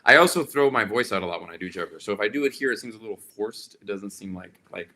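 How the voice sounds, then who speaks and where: A man hosting a live chat with viewers, his voice a little raspy and quite bright and forward. He talks in a loose, rambling way, full of fillers and restarts, pitched somewhat low. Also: expressive, quick pace. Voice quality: slightly raspy. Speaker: livestream host.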